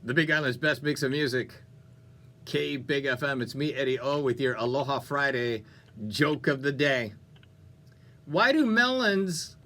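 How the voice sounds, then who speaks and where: A man's voice: fairly high pitch, sharp tone. A man hosting a music radio show with upbeat, playful, conversational DJ patter. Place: radio studio.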